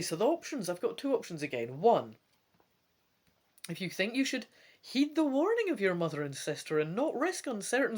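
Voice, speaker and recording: A woman hosting a gamebook playthrough, reading dramatically in an expressive, playful way with casual asides. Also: on the high side. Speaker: host of a gamebook let's play.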